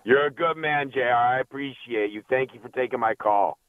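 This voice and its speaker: A man with a raspy voice calling a radio show, friendly and effusive. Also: medium pitch, brisk. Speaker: caller. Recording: tinny phone line, faint noise.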